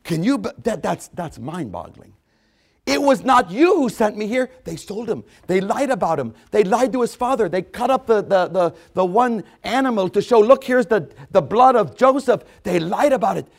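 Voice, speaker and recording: A man preaching a sermon, reading scripture aloud and expounding on it. He repeats key phrases for emphasis and swings between loud exclamations and hushed asides. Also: high voice, animated, normal speed, gravelly.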